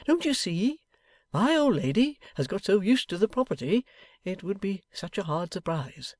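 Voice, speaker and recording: A man voicing a meek, humbled older husband in a storybook, speaking in a slow, sad, gently pleading manner. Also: high voice, sing-song, dry recording, voice pushed up. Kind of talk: dramatised audiobook character voice.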